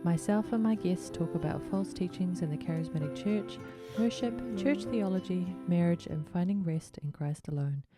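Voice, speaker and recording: A woman's voice: fairly low pitch, normal speed, hardly any pauses, over music. A woman hosting a podcast, introducing her guest and asking conversational questions. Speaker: podcast host.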